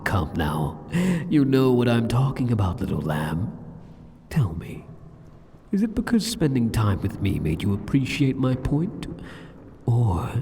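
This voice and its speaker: A man's voice: on the low side, gravelly, a little mellow, husky, exaggerated voice. Man voicing a smug demon king, swooping, cavernous. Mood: seductive, boastful. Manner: theatrical, smug, taunting, condescending. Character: arrogant, seductive, sadistic male demon king taunting a hero. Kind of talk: ASMR roleplay, scripted monologue. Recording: long cavernous reverb.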